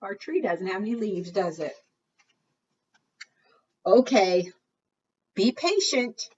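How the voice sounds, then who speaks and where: A woman talks to preschoolers as a storytime librarian, her pitch swinging widely as she coaxes them with questions. She takes her time, and the sound is muffled, as from a microphone some way off. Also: very animated, slow pace, a little mellow, slightly rough, recorded from a distance. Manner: coaxing questions, exaggerated gasps and singsong refrains. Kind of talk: interactive storytime talk to small children.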